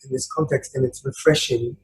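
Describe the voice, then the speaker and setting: A man's voice: mid-range voice, steady tone, brisk. A man in a video-call study group, tentative and thinking aloud as he comments. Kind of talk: casual discussion on a video call. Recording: echoey room with background noise.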